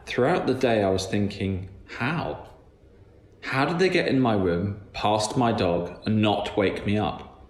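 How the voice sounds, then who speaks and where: A man reading a scripted first-person creepy story aloud as a narrator. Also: lowish voice. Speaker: guest story reader. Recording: echoey room with background noise.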